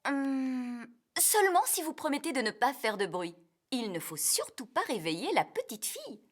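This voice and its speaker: Bossy fairy nanny for a kids' cartoon: brisk, highish, very animated, swooping emphasis. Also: female voice. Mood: cautious, admonishing. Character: bossy fairy nanny who moonlights as tooth fairy. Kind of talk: dubbed children's cartoon dialogue.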